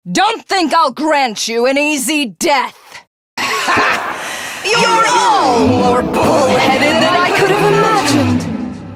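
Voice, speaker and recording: A voice actress playing a haughty, cruel would-be goddess, a grandiose and theatrical video game villain. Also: female voice, on the high side, no room sound, studio quality, heavily acted. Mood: fierce, exultant. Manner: grandiose, slow, archaic, menacing delivery.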